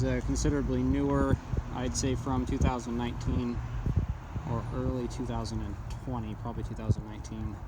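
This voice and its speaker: Man, medium pitch, casual hobbyist demo, halting delivery, thinking aloud, heavy noise on phone video. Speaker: amateur radio hobbyist reviewing handheld radios. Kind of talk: casual off-the-cuff product demonstration. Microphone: handheld phone camera. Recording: noisy phone video with clicks.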